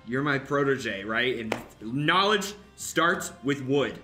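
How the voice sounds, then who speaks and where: Bright-voiced pompous mystic played by a man. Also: mid-range voice, lively intonation, takes it slowly. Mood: smug, scolding. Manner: preachy, emphatic, self-important. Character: pompous bullying elder brother mystic, head of noble house. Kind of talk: improvised comedic radio play. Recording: music under the voice.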